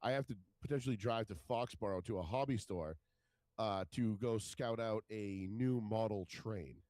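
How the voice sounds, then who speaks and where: A man's voice: on the low side, moderate pace, darkish tone, no room sound. A man in his forties with a stuffed-up, nasal voice, rambling crudely and mocking himself in a casual chat as co-host. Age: 40s. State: stuffed up, congested. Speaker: podcast co-host.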